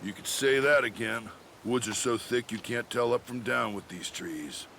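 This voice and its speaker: An actor playing a plainspoken, grumbling soldier in a game, with a casual folksy manner. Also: male voice, mid-range voice, moderate pace, vocal fry, background noise, natural voice.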